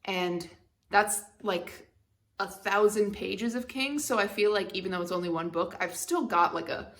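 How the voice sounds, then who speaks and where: Young woman book vlogger, frank and opinionated with a dry wit, mid pitch. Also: normal speed, fairly bright tone. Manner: candid, opinionated, wry. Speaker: BookTube channel host. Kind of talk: casual book tag vlog.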